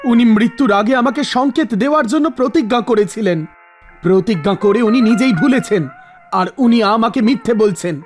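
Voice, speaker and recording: An actor voicing a stubborn old mortal man in an animated mythological story, arguing insistently with the gods. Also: medium pitch, moderate pace, soft background music, lightly acted. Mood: indignant. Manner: argumentative, accusing, insistent.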